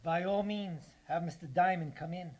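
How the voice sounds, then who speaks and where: An actor playing a bitter, menacing escaped convict in a live radio drama, speaking with formal, mocking courtesy. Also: male voice, sits high, normal speed, a little mellow, slight background noise, close to an everyday voice. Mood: mock-polite menace. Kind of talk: live recreation of golden age radio drama.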